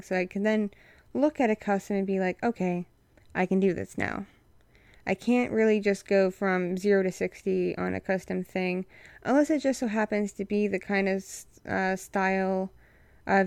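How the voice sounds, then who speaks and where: Froggy-voiced woman with a creaky, dry sound. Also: mid-range voice, a little mellow, no room sound. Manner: rambling, frank, many fillers. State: hoarse, froggy from a respiratory infection. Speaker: content creator addressing patrons. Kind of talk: casual update to supporters.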